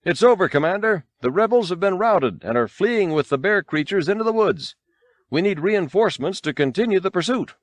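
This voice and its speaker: A man playing an excited Imperial pilot giving a battlefield report in an audiobook. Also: high-pitched, fairly quick, no room sound, voice pushed up. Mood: excited, urgent. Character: excited Imperial walker pilot reporting victory over the radio.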